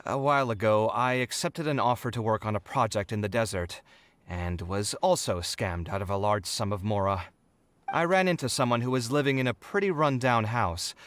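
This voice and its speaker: An actor playing a principled, scholarly young architect in a video game, articulate and reflective in an emotive way. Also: male voice, lowish voice, a bit bright, clear, resonant tone, no echo. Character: principled young male architect, scholarly, expressive, too trusting.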